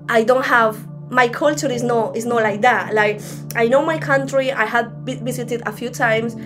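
Young female lay preacher, Spanish accent, higher voice, earnest and conversational, video-call sound with prominent music underneath. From Spain. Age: young adult. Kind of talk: informal teaching with personal testimony. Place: small room at home. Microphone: laptop on a video call.

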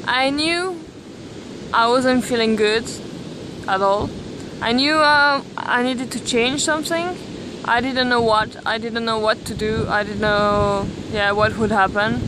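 A young woman from Belgium, filming herself at arm's length on a beach and talking thoughtfully with many pauses and restarts. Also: fairly high pitch. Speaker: vlogger. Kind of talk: casual selfie vlog. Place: outdoors on a beach, windy. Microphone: camera at arm's length. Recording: wind noise on the mic.

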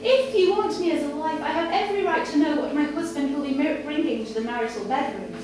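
A domineering Victorian lady, acted by a woman reading aloud in a live story reading. She commands bluntly and haughtily in a high voice. The recording is distant and full of echo. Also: fast, natural voice. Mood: imperious, demanding. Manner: imperious, blunt, coolly commanding. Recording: echoey, distant camera mic, room noise.

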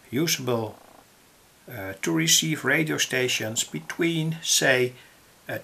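A man with a Dutch accent explaining electronics in an unscripted, halting and deliberate tutorial style. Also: medium pitch, creaky, pushed and bright, husky. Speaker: electronics hobbyist presenter. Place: indoors, at a table.

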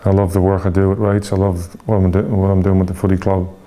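Reflective Irish man, low mellow voice. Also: little pitch movement. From Ireland. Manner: reflective, halting with many fillers and restarts. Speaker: interview guest. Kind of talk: candid interview talk.

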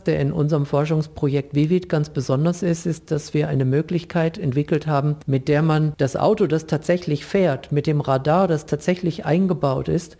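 A man's voice: a little high, gravelly, dark tone. A man, a professor being interviewed, explaining in long, careful sentences.

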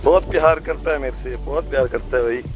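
A man's voice: medium pitch, fast. A young man from Himachal Pradesh, chatting informally to viewers in a casual vlog, recorded outdoors on a phone at arm's length. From Himachal Pradesh, India. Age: young adult. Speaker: vlogger. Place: outdoors, rural farmhouse yard and fields. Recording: phone video with music under voice. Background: music.

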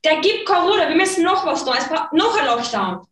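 A woman's voice: extremely high pitch, very animated, fairly quick, a bit bright, exaggerated voice. Girl playing a bossy, barking official. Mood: urgent. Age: teens. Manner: blunt, urgent commands. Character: bossy Austrian official shouting orders. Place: large school classroom. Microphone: far camera. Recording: roomy, distant, faintly noisy.

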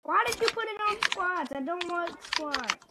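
High, sing-song woman gamer, slow whiny taunting, noisy mic. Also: animated. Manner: whiny, teasing trash talk to other players. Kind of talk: live gameplay commentary.

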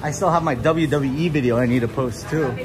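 A man's voice: mid-range voice, fairly quick. A man hosting a casual walking livestream outdoors, chatting in a laid-back way and greeting viewers. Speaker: livestream host. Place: outdoors, walking along a beach. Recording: noisy outdoor ambience, breezy.

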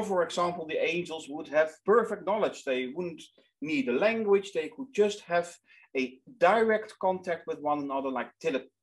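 A man's voice: a little high, low-quality recording. A man in his thirties with a Dutch accent, giving an online lecture in English as a second language, earnest and often repeating words as he searches for them, recorded over a webcam in a small room. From Netherlands.

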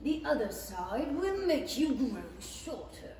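Lady doing a snooty caterpillar, crazy slow drawl, swoopy, old radio play in an echoey hall. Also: high voice, big pitch swings, exaggerated voice. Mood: condescending. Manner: drawling, condescending. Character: languid, curt, haughty male caterpillar. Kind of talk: 1930s radio play acting. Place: theatre stage, reading from script. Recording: echoey hall, distant, faint noise.

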